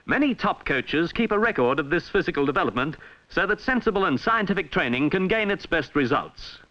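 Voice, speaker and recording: Bright, lively Australian male film narrator. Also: a little high, rough recording. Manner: formal, measured, emphatic delivery. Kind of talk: 1960s instructional film narration, scripted. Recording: vintage 1960s film soundtrack.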